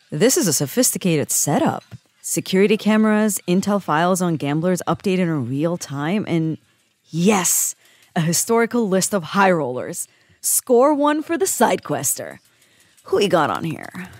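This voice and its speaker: A woman's voice: fairly low pitch, sing-song, measured pace, no echo, exaggerated voice. A woman playing a wry, sarcastic hacker agent who comments dryly as she works. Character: wry tech-savvy young female agent, hacker.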